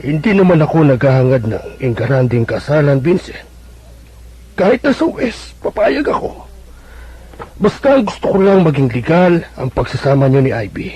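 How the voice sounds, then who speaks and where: A stern Filipino father speaks in a raspy voice with wide, animated pitch movement. He is firm and insistent, in the style of a radio soap opera. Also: moderate pace. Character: stern, insistent father demanding a legal wedding. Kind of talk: Filipino radio drama acting. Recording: dull lo-fi radio broadcast.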